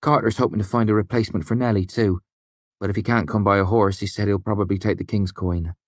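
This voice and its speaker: A man voicing an eager sixteen-year-old smith's apprentice, earnest and quick to bristle when called a boy. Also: fairly low pitch, steady tone, no room sound, voice pushed up, natural voice. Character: teenage male smith's apprentice, eager, defensive.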